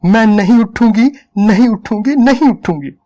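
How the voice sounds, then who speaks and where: A man's voice: high voice, moderate pace, dark tone, dull audio, voice pushed up, close to an everyday voice. A man voicing an exhausted, desperate woman in a dramatic story reading, pleading and stubborn. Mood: shouting, exasperated.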